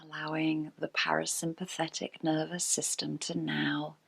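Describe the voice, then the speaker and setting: A woman teaching restorative yoga, giving calm, unhurried guided relaxation in a soft, sometimes breathy voice. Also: low-pitched. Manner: calm, unhurried, gentle pauses. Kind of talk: slow guided relaxation instruction.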